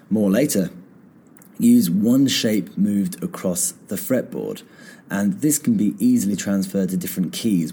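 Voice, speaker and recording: A man hosting a guitar lesson podcast, calmly explaining finger positions step by step, his voice a little croaky. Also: low-pitched, a little hiss or hum. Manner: relaxed, step-by-step instruction. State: slightly croaky after singing. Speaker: guitar teacher and podcast host. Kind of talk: casual spoken guitar lesson. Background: guitar playing between phrases.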